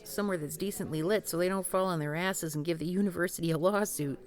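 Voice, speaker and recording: A woman's voice: on the low side, quick pace, natural voice. A woman voicing a blunt, foul-mouthed leader of a paranormal group in a scripted audio roleplay. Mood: wry. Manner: gruff, wisecracking, swears often.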